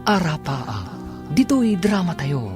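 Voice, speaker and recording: Animated Filipino male radio drama announcer over music. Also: a little high, slow. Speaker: announcer and narrator. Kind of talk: scripted radio drama narration.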